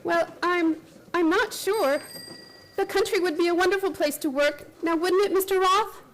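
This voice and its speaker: A woman's voice: very high voice, normal speed. A woman acting in a radio play as an anxious, caring character who narrates and speaks in an earnest, hesitant, emotionally heightened way. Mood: nervous coaxing. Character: anxious, caring young woman recounting a strange event.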